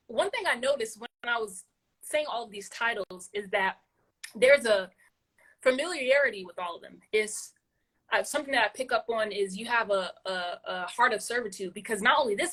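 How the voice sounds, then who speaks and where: A woman hosting a casual interview, her voice sitting fairly high. She encourages her guest warmly and often repeats a word as she works out her next thought. She sounds like she is on a video call. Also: moderate pace, breathy edge, low-quality recording. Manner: encouraging, repeats words while thinking. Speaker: podcast host.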